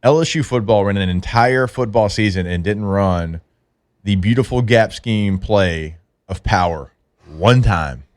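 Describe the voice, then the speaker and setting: A man hosting casual sports radio talk, animated and quick to exclaim. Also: on the low side. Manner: animated, laughs easily, exclaims often.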